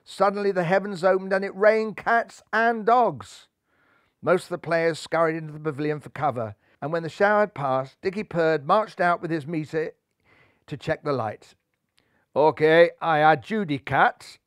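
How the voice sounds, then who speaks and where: Children's audiobook narrator, male, lightly high pitch, expressive and playful, dry sound. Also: moderate pace, dry recording. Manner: theatrical, playful. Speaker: storyteller reading aloud.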